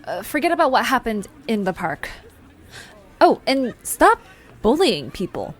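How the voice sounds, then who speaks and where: A woman's voice: a little high, big pitch swings, unhurried, slightly rough, full character voice. A woman playing a blunt, easily flustered young amateur investigator in an audio drama, with a hesitant, sardonic way of talking. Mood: awkward. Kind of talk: audio drama dialogue, conversational.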